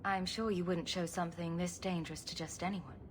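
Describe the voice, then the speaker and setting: A woman's voice: lowish voice, fairly even intonation, a little fast, a little hiss or hum, close to an everyday voice. A woman playing a sarcastic, playful adventurer hero in a video game, speaking with dry humor. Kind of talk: video game dialogue acting.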